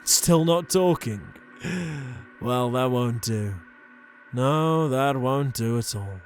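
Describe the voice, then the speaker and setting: An actor playing a zealous, smug holy inquisitor in an audio drama, speaking in a pompous, deliberate, formal manner. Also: male voice, medium pitch, faint music behind, lightly acted. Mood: smug, menacing. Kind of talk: dramatic audio roleplay acting.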